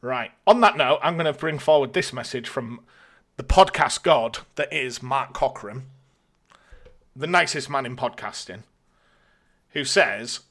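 A British man with a bright, forward voice and mid-range pitch hosts a casual podcast. He talks colloquially and jokily, as if bantering with a friend while working through listener questions. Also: unhurried, pauses often. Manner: jokey, colloquial.

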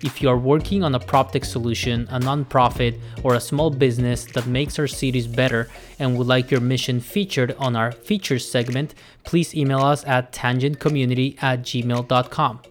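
A man with a mid-pitched voice hosting a podcast. He reads a polished intro, then asks questions slowly with many "uh"s. His voice carries a long reverb. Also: steady tone, soft background music. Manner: scripted intro, then hesitant questions with fillers. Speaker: podcast host.

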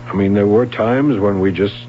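A man's voice: lowish voice, moderate pace, a little hiss or hum, lightly acted. A man acting in a 1970s American radio drama as an earnest, persistent young police sergeant who probes with questions. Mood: probing. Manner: probing, insistent, colloquial. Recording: muffled radio recording, faint music.

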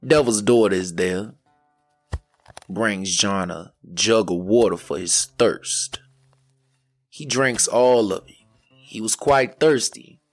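A man narrating an old folk tale as a storyteller, in a measured way with dramatic pauses. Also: on the low side, dry recording, faint music behind. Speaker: storyteller, podcast host.

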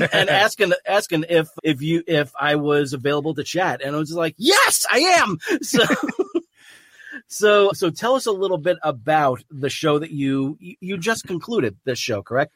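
A man's voice: a little high, big pitch swings, normal speed, fairly bright tone, slightly rough, no room sound. A man hosting his podcast, talking earnestly and rambling a bit, with restarts and easy laughter. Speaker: podcast host. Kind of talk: casual podcast chat.

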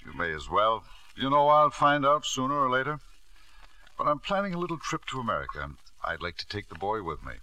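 Animated, proud older father. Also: mid-range voice, a little fast, poor audio. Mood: insistent. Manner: insistent, smooth-talking, pressing. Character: proud, stubborn, wealthy older father, self-justifying. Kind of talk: 1940s-50s radio drama acting.

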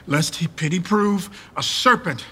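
A man's voice: high voice, measured pace, a bit bright, slightly rough, long reverb tail, heavily acted. An actor playing a stern, outraged old duke and unforgiving father in a Shakespearean radio drama, declaiming vehemently. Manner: declamatory, vehement verse delivery.

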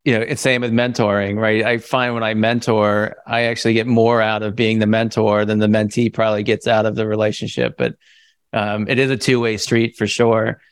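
A male podcast host with a smooth, clear voice, recorded dry and close. He asks his questions in a friendly, reflective way. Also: fairly low pitch, little pitch movement, moderate pace, no room sound. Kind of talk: conversational interviewing.

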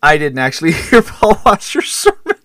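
A man co-hosting a podcast, chatting and telling stories in a rambling, halting way, often doubling back and chuckling as he talks. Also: a little high, wildly swooping pitch, sharp tone, no echo, studio quality. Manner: rambling, halting, self-interrupting, chuckles while talking. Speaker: podcast co-host. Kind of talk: casual chat and storytelling.

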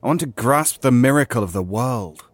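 A man's voice: medium pitch, lively intonation, moderate pace, no room sound, close to an everyday voice. A man playing a weary, stubborn veteran player of a surreal game, wry and conversational in a naturalistic audio drama. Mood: yearning, insistent.

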